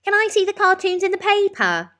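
An insistent young elephant girl from a storybook, acted by a woman. She is high and a little whiny, with very lively pitch. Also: fairly quick, full character voice. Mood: curious.

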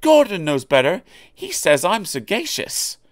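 A man's voice: high voice, wildly swooping pitch, unhurried, no room sound, clean studio sound, voice pushed up, heavily acted. A man doing a cocky little tank engine. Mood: boastful. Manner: boastful, chirpy.

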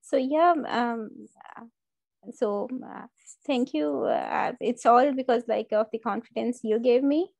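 Hesitant woman with a gravelly voice. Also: fairly high pitch, a little slow, darkish tone, no echo. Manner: hesitant, many fillers. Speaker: former student, guest. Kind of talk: brief thanks on a video call. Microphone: video call.

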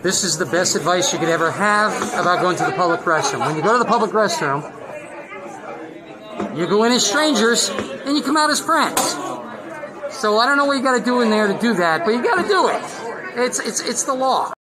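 A man's voice: sits high, expressive, crowd noise. A man hosting a comedic video-call show, joking, protesting and laughing easily. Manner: playful, protesting, quick to laugh. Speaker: host.

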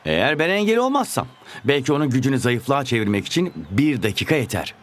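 An actor playing a plucky, teasing young football hero in a Turkish cartoon dub. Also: male voice, medium pitch, big pitch swings, quick pace, soft background music, natural voice. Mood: determined.

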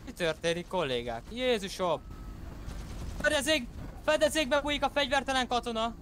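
A man's voice: very high voice, animated, a bit bright, a little hiss or hum. A man in an online game roleplay who plays a police officer and narrates his actions in formal, procedural phrases.

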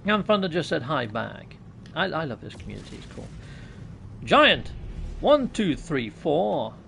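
Lively, gravelly British man streaming a game. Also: a little high, a little slow, slight background noise. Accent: British English. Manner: jokey, self-mocking, chats with viewers. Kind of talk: casual live-stream chat while playing. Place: small room at home. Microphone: USB mic on top of a laptop.

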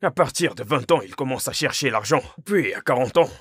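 Husky, dry-sounding friend, mocking and preachy. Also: male voice, mid-range voice, expressive, a little fast, a bit bright, no echo. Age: 30s. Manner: teasing, lecturing. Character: mocking, lecturing young male friend. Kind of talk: French film dub.

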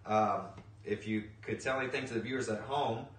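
Male host, thirties, low register, casual interview chat, recorded off-mic with faint noise. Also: steady tone, a little fast. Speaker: host and interviewer, podcast writer. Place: indoors on a sofa in a living room. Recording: roomy, distant mic, noticeable room noise.

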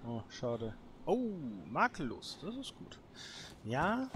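A man's voice: a little high, wildly swooping pitch, slow pace, a bit bright. A man giving casual solo let's play commentary, muttering short remarks to himself. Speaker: gaming video host. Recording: clearly noisy background with clicks.

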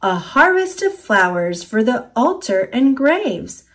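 A woman with an American accent voicing a calm, reassuring father in a storybook, soothing and measured. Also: fairly high pitch, full character voice.

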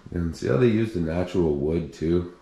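A man's voice: deep voice, moderate pace, gravelly, mellow. A man touring an abandoned house and thinking aloud as he walks through with a handheld camera. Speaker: urban explorer vlogger. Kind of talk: casual walkthrough narration. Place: empty abandoned house indoors. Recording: echoey empty rooms, faint hiss.

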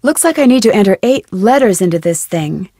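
A young woman voicing a plucky, curious young amateur detective in an adventure game, musing to herself with clear enunciation. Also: medium pitch, animated, brisk, mellow, no echo, clean studio sound. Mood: musing, matter-of-fact. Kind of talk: scripted adventure game voice lines.

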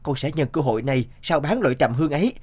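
A man's voice: a little high, lively intonation, quick pace, darkish tone. A man voicing an eager, respectful and brave young village son in a cartoon. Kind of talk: Vietnamese cartoon dubbing.

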